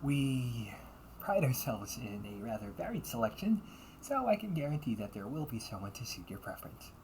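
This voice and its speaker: A man's voice: mid-range voice, very animated, normal speed, darkish tone, slight background noise, low-quality recording. A man acting the part of a young butler cafe waiter in an audio roleplay, with formal, courteous service talk.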